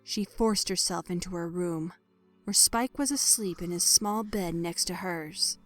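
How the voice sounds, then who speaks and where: A woman reading a scripted dramatic story as narrator, measured and expressive in her delivery. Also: on the low side, faint music behind.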